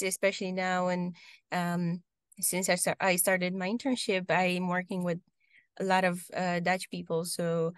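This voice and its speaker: A young woman from Romania with a Romanian accent, answering interview questions casually on a video call, often pausing with ums and restarting sentences. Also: fairly low pitch, dry recording, studio quality. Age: young adult. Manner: hesitant, many ums and restarts. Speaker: guest. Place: home room, video call.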